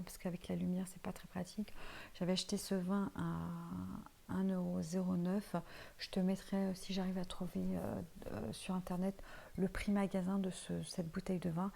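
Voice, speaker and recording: A woman's voice: fairly low pitch, vocal fry, warm tone. A woman of Portuguese heritage, living in France, chatting casually to her viewers as she reviews finished products, with lots of hesitant fillers. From Portuguese heritage, lives in France. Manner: chatty, many fillers, addresses viewer informally. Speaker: vlogger reviewing used-up products. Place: home, tiled room.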